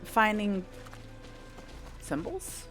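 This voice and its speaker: A woman's voice: medium pitch, big pitch swings, extremely slow, fairly bright tone. A woman giving casual gameplay commentary, rambling and thinking aloud with the odd mild swear. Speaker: gameplay streamer. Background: video game music and sounds.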